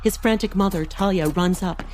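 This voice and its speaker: A woman's voice: fairly low pitch, moderate pace, noisy background. A woman delivering dramatic scripted narration for an audiobook. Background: music.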